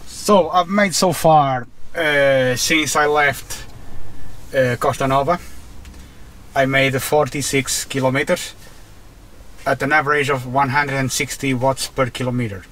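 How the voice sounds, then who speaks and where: A man's voice: mid-range voice, fairly even intonation, unhurried, fairly bright tone. A man with a Portuguese accent narrating a car test as a vlogger, hesitant, with many fillers and restarts, recorded inside a car. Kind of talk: casual narration while driving.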